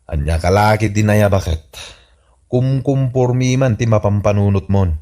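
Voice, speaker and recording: A man's voice: on the low side, normal speed, warm tone, natural voice. A man playing a defensive, dismissive husband who argues with his wife in a comedy radio drama. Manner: dismissive, argumentative.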